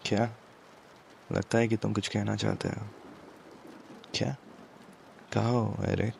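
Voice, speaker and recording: A man playing a commanding, protective mafia boss in an ASMR romance roleplay, speaking in a hushed, deliberate way with English words mixed in. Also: fairly even intonation, a little hiss or hum, full character voice. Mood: calm, controlling. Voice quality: low, gravelly, breathy at times. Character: commanding yet protective male mafia boss, tender with his captive.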